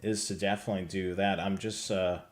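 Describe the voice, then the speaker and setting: A man's voice: deep voice, flat delivery, fairly quick. A middle-aged man with an American accent, presenting casually as host of a hobby livestream from a room at home. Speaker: host of a radio scanner show. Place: indoor home room on a video call.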